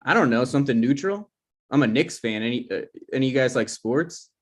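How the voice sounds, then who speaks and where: A man plays a touchy grown son and socially awkward host in a comedy read-through. He is defensive and quick to argue, speaking in an everyday conversational voice. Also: medium pitch, lively intonation, natural voice. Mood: awkwardly sociable. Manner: defensive, argumentative. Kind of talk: comedy script table read.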